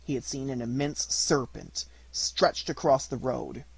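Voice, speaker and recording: A man reading a storybook as scripted audiobook narration, in deliberate, measured phrases. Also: mid-range voice, steady tone, gravelly, slightly rough, dry recording, poor audio. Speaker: audiobook reader.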